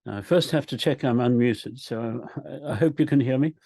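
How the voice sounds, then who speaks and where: A man's voice: mid-range voice, moderate pace, creaky, warm tone, slightly rough. An older man from Africa, a professor opening a video call talk in a chatty, self-deprecating way. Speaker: guest speaker, professor. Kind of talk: casual talk opening a lecture. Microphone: computer mic on a video call.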